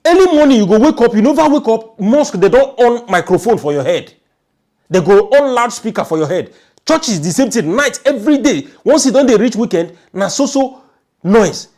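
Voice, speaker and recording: A man's voice: extremely high pitch, expressive, moderate pace, darkish tone. A man with a Nigerian accent giving informal, emphatic storytelling commentary, often repeating his phrases. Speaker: online news commentator.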